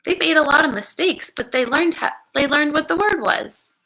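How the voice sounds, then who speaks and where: A woman educator reading a picture book aloud to children, expressive and encouraging, pausing to wonder aloud and speak to her listeners. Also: sits high, low-quality recording.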